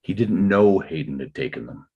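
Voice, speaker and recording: A man playing a burdened, defensive father in a dramatic table read, explaining himself in halting, measured phrases. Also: low-pitched, expressive, husky, muffled recording, exaggerated voice. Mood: subdued, explaining.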